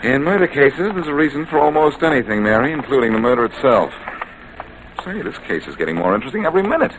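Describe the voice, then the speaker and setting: An actor playing a cool-headed, wisecracking amateur detective in 1940s radio style, flippant and teasing. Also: male voice, medium pitch, sing-song, fast, natural voice. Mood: thoughtful. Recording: noisy old-time radio recording.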